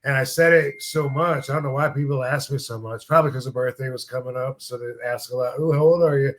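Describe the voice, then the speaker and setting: A man's voice: mid-range voice, fairly even intonation, fast talker, slight background noise. A man in his fifties talks through a casual podcast chat. He rambles in a laid-back way, trails off into fillers and swears freely. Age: 50s. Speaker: podcast host, former wrestler.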